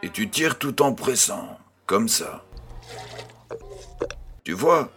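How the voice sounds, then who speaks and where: A man's voice: medium pitch, animated, slow, fairly bright tone, a little hiss or hum. An actor playing an affectionate old farmer grandfather, patient and encouraging, in a French audio drama.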